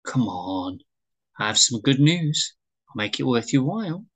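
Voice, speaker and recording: Man acting a sheepish, pleading suitor, swooping pitch. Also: sing-song, a little slow, fairly bright tone, slightly rough, full character voice. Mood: wheedling. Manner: hesitant, wheedling, easily flustered. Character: hopeful, sheepish suitor pleading with his lover. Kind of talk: amateur script read-through, acted dialogue.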